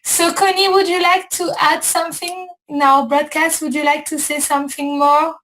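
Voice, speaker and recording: A woman hosting a casual show, talking slowly and repeating herself as she searches for words. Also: sits high, flat delivery, a bit bright, slight background noise, poor audio. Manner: slow, repetitive, searching for words. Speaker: host. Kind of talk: casual chat.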